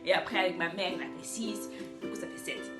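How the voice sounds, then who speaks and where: A woman's voice: mid-range voice, steady tone, fast. A young woman talking in a casual group chat, chatty and laughing often. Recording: echoey room with faint music.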